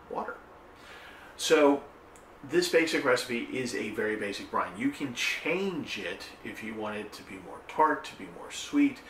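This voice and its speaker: A man's voice: lowish voice, a bit bright, distant mic, slight background noise. Middle-aged man chatting in an echoey kitchen. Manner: rambling and chatty, many ums and restarts; gestures with hands while talking. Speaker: cooking video host. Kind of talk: casual home cooking talk. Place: home kitchen, indoors. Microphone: camera a little way off. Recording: echoey kitchen, voice a bit off-mic.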